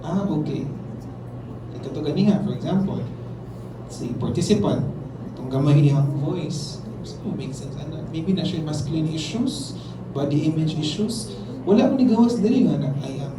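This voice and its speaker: A man with a Filipino accent runs a workshop, switching between English and local phrases as he asks the class questions. His voice sits a little high, heard from a distance in an echoey room with steady traffic noise behind him. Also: normal speed. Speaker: workshop facilitator. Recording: roomy, distant, noisy room recording.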